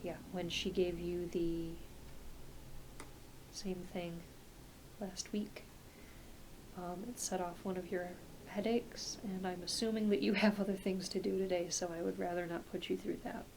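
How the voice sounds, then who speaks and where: A woman playing a gentle, reassuring, slightly scattered doctor in an ASMR medical roleplay, speaking softly and haltingly, often trailing into a near-whisper. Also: fairly low pitch, steady tone, poor audio. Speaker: ASMR performer. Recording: close home recording with clear hiss.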